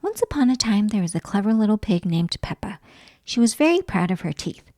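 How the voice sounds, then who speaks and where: A woman reading a children's story aloud as an expressive narrator. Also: mid-range voice, fairly quick, dry recording, studio quality.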